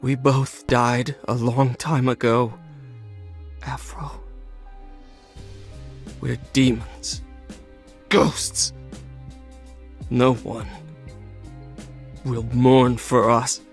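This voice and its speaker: Grave, guilt-ridden warrior, mid-pitched, extremely slow and brooding, video game drama with soft music. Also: male voice, little pitch movement. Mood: mournful resolve. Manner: solemn, brooding, deliberate. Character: sorrowful, grave male warrior haunted by guilt, sworn to revenge. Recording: faint game music behind.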